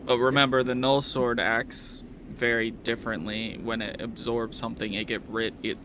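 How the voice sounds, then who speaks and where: A man's voice: mid-range voice, flat delivery, normal speed, fairly bright tone. A man casually running a tabletop game as the game master. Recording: faint background noise.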